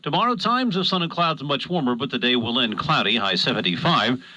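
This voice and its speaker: A man reading a radio weather forecast in a brisk, scripted announcer delivery. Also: medium pitch, expressive, pushed and bright, no room sound, low-quality recording.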